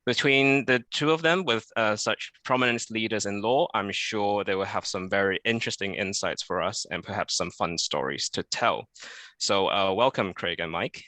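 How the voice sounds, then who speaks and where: A man's voice: fairly low pitch, moderate pace, bright, forceful tone, no echo. A man moderating a fireside chat panel, who politely and formally welcomes his guests and puts questions to them.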